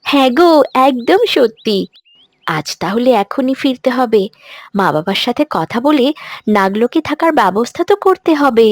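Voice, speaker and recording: A woman's voice: fairly high pitch, animated, measured pace. A woman playing a trusting, playful young serpent woman in a cartoon story. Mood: eager, affectionate.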